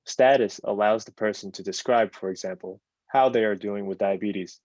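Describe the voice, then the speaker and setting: A man with a fairly low voice delivers a prepared explanation as a panelist. The sound is close and dry, with a dull lo-fi tone. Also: moderate pace, darkish tone, no room sound. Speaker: research team panelist. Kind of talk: scripted explanatory answer, read out.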